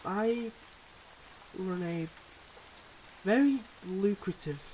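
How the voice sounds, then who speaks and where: A suave, secretive mob boss played by a man talks to his partner in an intimate ASMR roleplay. His voice sits a little high, with a smooth, confiding, teasing manner. Light rain noise runs behind him. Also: lively intonation, extremely slow, darkish tone, heavily acted, low-quality recording. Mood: earnest, guarded. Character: suave, secretive young male mob boss, devoted online boyfriend.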